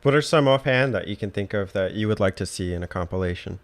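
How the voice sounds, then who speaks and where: A man with a somewhat low voice hosts a casual podcast. He speaks informally and in a laid-back way, as if talking games with a friend. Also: fast talker. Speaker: podcast host. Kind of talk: casual conversation about video games.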